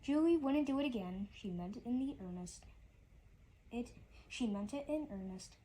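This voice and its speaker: A woman's voice: a little high, lively intonation, normal speed, mellow, slightly rough, low-quality recording. A woman reading a rhyming children's story aloud, often stumbling and repeating words as she goes.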